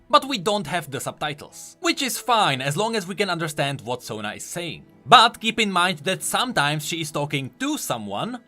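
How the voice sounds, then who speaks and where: A man narrating a scripted explainer as host, with animated, emphatic commentary. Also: high-pitched. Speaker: host of a game lore video. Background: music.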